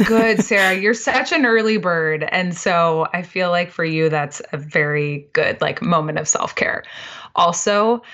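A woman in her thirties, a guest on a podcast, chatting in an animated way and laughing easily. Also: on the low side, highs cut off. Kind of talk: casual chat.

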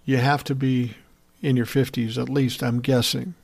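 A man's voice: medium pitch, flat delivery, moderate pace, gravelly, darkish tone. Man, podcast host, political commentary, blunt, swears, casual fillers, mid-range voice. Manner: blunt, profane, conversational with fillers. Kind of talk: opinionated commentary reading listener messages.

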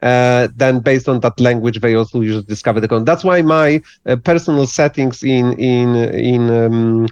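Man, halting and dry. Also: mid-range voice, a little mellow, clean tone, dry recording, highs cut off. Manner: halting, many repeated words and restarts. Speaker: guest. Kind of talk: podcast interview, explaining.

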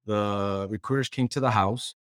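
A man's voice: on the low side, fairly even intonation, moderate pace, no room sound. Male interview guest from Puerto Rico, chef. Casual storyteller who quotes dialogue as he recounts, close dry sound. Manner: conversational, quotes himself and others while recounting. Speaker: guest, chef and culinary director.

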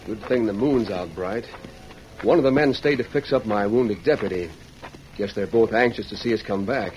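An actor playing a gruff, folksy, plain-spoken sheriff in an old-time radio western. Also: male voice, on the low side, normal speed, a little mellow, natural voice. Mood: puzzled. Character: gruff, no-nonsense Old West sheriff, adult man. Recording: noisy vintage radio drama recording.

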